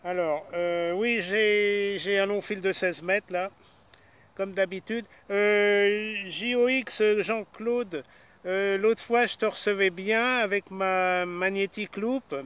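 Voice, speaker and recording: A man with a high, bright and clean-sounding voice talks casually as an amateur radio operator. He is outdoors at a portable station, passing on news to the other operators in a relaxed conversational style. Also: slow pace, clear, resonant tone, muffled recording.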